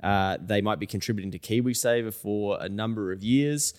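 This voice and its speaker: Kiwi bloke in his 30s hosting a casual chat, lowish voice, informal, tells little stories on himself. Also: a little fast, darkish tone. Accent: New Zealand. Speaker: interview host.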